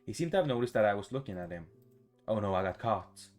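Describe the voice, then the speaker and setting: Low-voiced man reading a visual novel aloud. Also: expressive, quick pace, darkish tone. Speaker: gaming YouTuber. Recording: music behind the voice.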